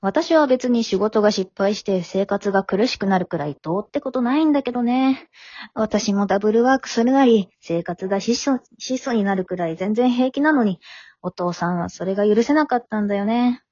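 A woman acting in an amateur radio drama as a frank, matter-of-fact teenage daughter who chides gently. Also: mid-range voice, brisk, no echo, highs cut off, natural voice. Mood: frank, reproachful. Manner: matter-of-fact, teasing, plain-spoken. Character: frank, forgiving teenage high-school daughter, gently chiding.

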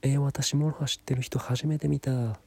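A man voicing a relaxed young woman who invites friends to her place, speaking in an offhand, easygoing way. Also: mid-range voice, normal speed, vocal fry, slightly rough, no room sound, voice pushed up.

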